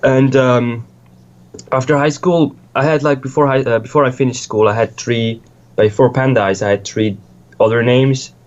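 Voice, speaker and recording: A man's voice: mid-range voice, fairly even intonation, moderate pace, highs cut off. A young man from Switzerland, a music producer, chatting casually in an interview, rambling and swearing freely with lots of restarts.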